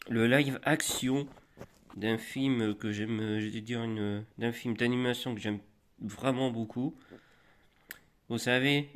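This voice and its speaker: A man with a slight cold, showing off flea-market finds in a rambling, halting, casual way, with many restarts. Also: medium pitch, a little hiss or hum. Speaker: collector vlogger. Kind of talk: casual show-and-tell.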